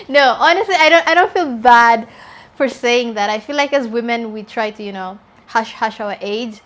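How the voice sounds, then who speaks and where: A woman's voice: a little high, moderate pace, a bit bright. A woman in her twenties, a journalist chatting candidly through a get-to-know-me Q&A, laughing easily. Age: 20s. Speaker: journalist and YouTuber. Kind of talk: casual get-to-know-me Q&A vlog.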